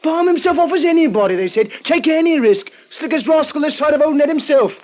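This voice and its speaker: An actor playing a shifty British small-time crook, conspiratorial and goading, in an old-time radio drama. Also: male voice, extremely high pitch, lively intonation, a little fast, darkish tone.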